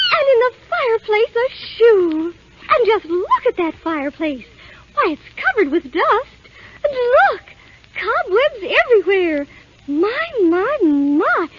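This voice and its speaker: An actress playing a gentle, sweet young princess in a 1930s radio drama. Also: female voice, very high-pitched, heavy background noise, full character voice. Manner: soft, sweet, wondering. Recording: dull old radio broadcast with music.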